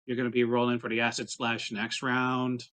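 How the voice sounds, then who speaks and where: A man's voice: mid-range voice, completely flat delivery, normal speed, a bit bright. A man at a tabletop roleplaying game, casually offering rules advice and quips as a player.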